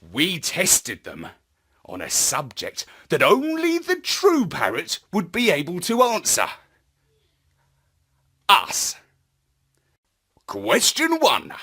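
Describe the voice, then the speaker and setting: A man narrating a children's story in a theatrical, deliberate, expressive manner. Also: fairly high pitch, breathy edge. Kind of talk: scripted children's story narration in first person.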